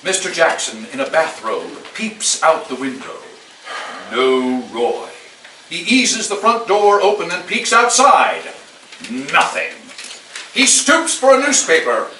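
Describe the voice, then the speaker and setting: Echoey, animated man reading stage directions. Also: fairly high pitch, slow. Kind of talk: scripted narration at a live table read. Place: live staged reading at a party. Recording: echoey room, distant mic, clearly noisy.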